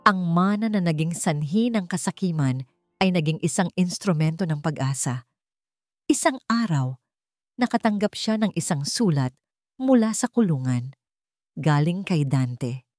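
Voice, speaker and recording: A woman narrating a scripted dramatic story in a measured, storytelling manner. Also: fairly low pitch, animated, a little mellow, no echo, clean studio sound. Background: background music.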